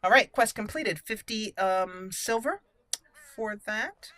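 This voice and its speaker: A woman's voice: medium pitch, lively intonation, takes it slowly, sharp tone, no echo. A woman hosting a game playthrough, chatting casually and playfully as she thinks aloud. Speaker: gaming channel host.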